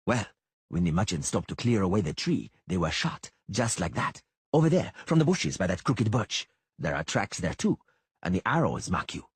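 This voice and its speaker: Man voicing a rough, wrinkled mercenary. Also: deep voice, no echo, full character voice. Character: wrinkle-faced male mercenary, blunt.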